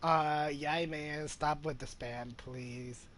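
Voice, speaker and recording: Slow-talking, higher-pitched male streamer. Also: fairly even intonation, a bit bright, a little hiss or hum. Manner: halting, chatty asides to viewers. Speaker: game streamer. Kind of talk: casual livestream commentary.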